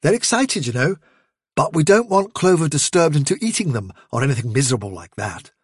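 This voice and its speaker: A firm, commanding rabbit leader from a storybook , acted by a man, British and raised in pitch. He speaks briskly and stubbornly, with a husky edge and a close, dry sound. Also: sing-song, no room sound. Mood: calm, wry.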